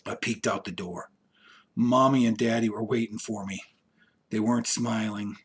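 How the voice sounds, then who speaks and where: A man reading a children's story aloud as scripted storytelling, with expressive delivery that shifts for characters. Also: on the low side, moderate pace, husky.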